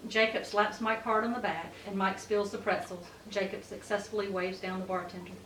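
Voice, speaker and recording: A woman's voice: medium pitch, steady tone, fairly quick, fairly bright tone. Echoey woman narrating a script. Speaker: narrator of stage directions. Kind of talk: scripted narration at a table read. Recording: echoey room, distant mic, faint noise.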